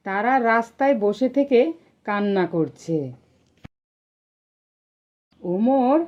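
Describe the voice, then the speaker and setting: A woman narrating a scripted children's story. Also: medium pitch. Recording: dull lo-fi voice track.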